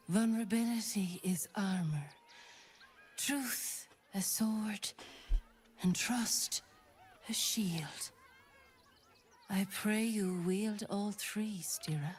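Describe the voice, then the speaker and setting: A woman's voice: medium pitch, a little mellow, natural voice. An actress voicing a solemn, poetic female fortune-teller who reads hearts in a grave, whispery way. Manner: slow, grave, whispery delivery.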